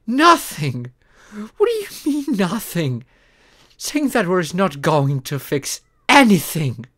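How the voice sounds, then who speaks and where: A voice actor plays a hurt, frustrated partner in an intimate ASMR roleplay. The delivery is slow and emotional, with a mid-pitched voice that swings widely as they plead and care. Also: sing-song, a bit bright, full character voice. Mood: angry, hurt. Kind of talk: intimate ASMR roleplay voice acting, one side of dialogue.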